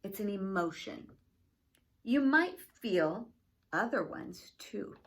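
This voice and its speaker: A woman's voice: medium pitch, expressive, slow pace. Woman as a tender, earnest storybook girl. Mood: tender, wondering.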